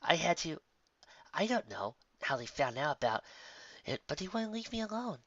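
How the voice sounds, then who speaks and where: Timid spa mare for an audio story, done by a narrator: high, soft, hesitant, apologetic and flustered. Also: very animated, moderate pace, lo-fi sound. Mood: apologetic, pleading. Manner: hesitant, soft-spoken, pleading.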